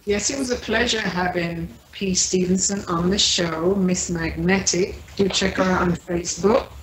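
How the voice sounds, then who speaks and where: A woman co-hosting a radio talk show, signing off in a measured announcer way. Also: fairly low pitch, steady tone, echoey room, poor audio. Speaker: co-host. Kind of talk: sign-off announcement.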